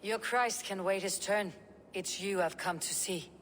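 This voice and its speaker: A woman's voice: medium pitch, unhurried, a bit bright, slight background noise, lightly acted. An actress voicing a bold, irreverent pagan Viking warrior woman in a video game, speaking bluntly, dryly and defiantly. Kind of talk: dramatic video game acting.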